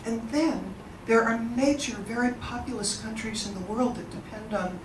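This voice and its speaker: A woman's voice: on the high side, moderate pace. A woman moderates a live event, chatty and eager, often turning to the audience with questions. She is recorded from a distance in a large, echoing room, with a constant background noise under her voice. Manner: chatty, enthusiastic, engages the audience. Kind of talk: informal presentation to an audience.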